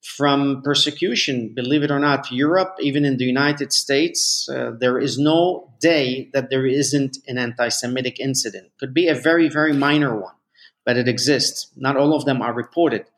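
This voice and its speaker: Halting, measured rabbi, Lebanese-born man. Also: mid-range voice, a bit bright. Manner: measured, halting with many restarts and fillers. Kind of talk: interview answers.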